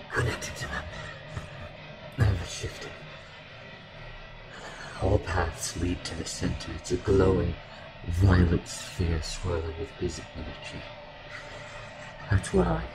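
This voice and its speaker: An actor playing a sly, resigned captive villain in an audio drama, speaking in a sardonic, theatrical way. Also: male voice, deep voice, sing-song, takes it slowly, pauses often, over loud music, exaggerated voice, poor audio. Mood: pressured confession.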